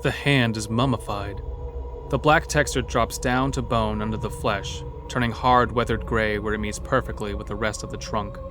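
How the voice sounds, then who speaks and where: A man with a fairly low voice narrates a scripted horror tale in the first person. His delivery is dramatic storytelling, with light music underneath. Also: steady tone, normal speed. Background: background music.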